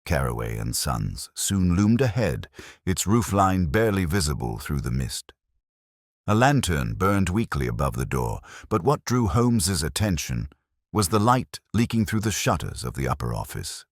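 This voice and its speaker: Male audiobook narrator: extremely low voice, lively melodic range, measured literary read of period mystery, dry sound. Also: dry recording. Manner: measured, literary, theatrical delivery. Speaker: audiobook narrator playing Dr. Watson. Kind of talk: scripted dramatic narration of a Victorian detective story.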